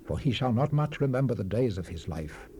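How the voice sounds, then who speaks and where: An actor playing a solemn Old Testament preacher, reading scripture in a measured, oratorical manner. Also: male voice, medium pitch, lively intonation, warm tone, natural voice. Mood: solemn, instructive. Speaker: Bible narrator, voice actor. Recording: hissy unprocessed 1970s cassette rip.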